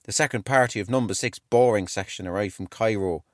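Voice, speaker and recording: An actor playing an earnest young British army clerk in his twenties, reading a wartime diary aloud in a measured, expressive way. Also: fairly low pitch, no room sound, studio quality, natural voice. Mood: reflective. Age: 20s.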